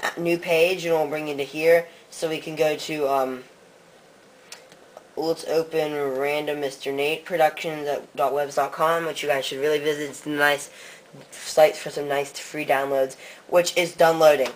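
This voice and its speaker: A man's voice: on the high side, flat delivery, normal speed, a little hiss or hum, rough recording. A man co-hosting a tech comparison, casually talking through a device demo. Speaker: co-host, tech reviewer. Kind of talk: casual gadget review demo.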